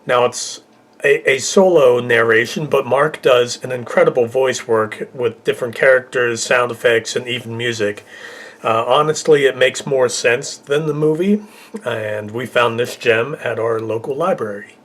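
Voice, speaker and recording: Mid-pitched man presenting a list. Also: normal speed, a bit bright. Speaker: video host. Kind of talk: casual scripted list presentation.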